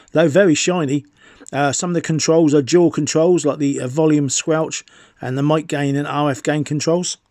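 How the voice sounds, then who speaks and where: A man's voice: on the high side, a little mellow, no room sound, clean studio sound. A middle-aged man presenting an enthusiast equipment review in an unscripted, somewhat hesitant explaining manner. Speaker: video presenter.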